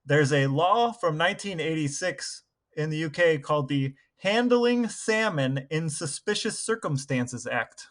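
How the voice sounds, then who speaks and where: Man, fairly high voice, podcast co-host, casual humorous banter. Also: expressive, unhurried, fairly bright tone.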